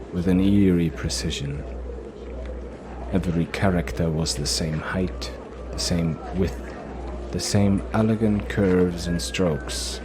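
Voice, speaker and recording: A man's voice: sits low, background noise. A man reading scripted, dramatic fantasy narration as the storyteller of an audio drama.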